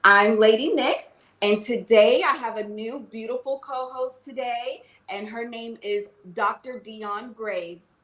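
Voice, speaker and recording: A woman's voice: fairly high pitch, takes it slowly, fairly bright tone, roomy sound. A woman hosting a podcast interview, bubbly and upbeat, often saying "okay" and "y'all". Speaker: podcast host.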